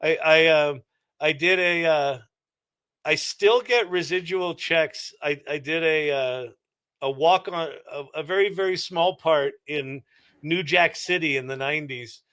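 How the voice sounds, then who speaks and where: A man's voice: on the high side, measured pace, sharp tone, smooth. A middle-aged man giving casual, reflective and explanatory answers as an interview guest. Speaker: interview guest, entrepreneur and former actor.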